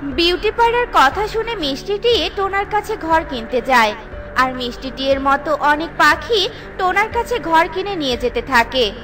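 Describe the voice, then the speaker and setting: A woman's voice: extremely high pitch, animated, normal speed, over music. A woman reading scripted storybook narration for a children's cartoon.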